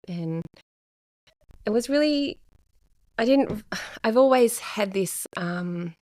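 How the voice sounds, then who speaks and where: A middle-aged woman, talking casually and reflectively as a podcast guest, pausing often. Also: medium pitch, gravelly, no echo, studio quality. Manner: soft-spoken, reflective, with many pauses and fillers. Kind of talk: casual chat.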